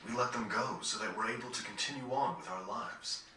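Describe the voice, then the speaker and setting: A man playing a thoughtful, philosophical gentleman who speaks in a grave, reflective, formal way in a video game cutscene. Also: mid-range voice, a little fast, close to an everyday voice. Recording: roomy, distant game capture with faint noise.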